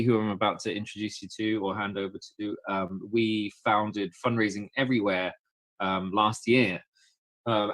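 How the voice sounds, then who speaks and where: A man's voice: fairly low pitch, fairly bright tone, no echo, poor audio. A man co-hosting a webinar, chatty and joking with many fillers. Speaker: co-host of webinar. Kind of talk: casual webinar presenting.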